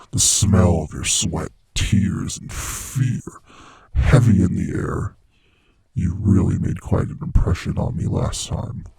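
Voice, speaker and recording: A very deep, dark-voiced male monster from under the bed , acted by a man, speaking in a slow, heavily breathy rasp. He taunts and savors his prey with playful, sadistic menace, often dropping toward a whisper in a horror ASMR roleplay. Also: dry recording, full character voice. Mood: savoring, predatory.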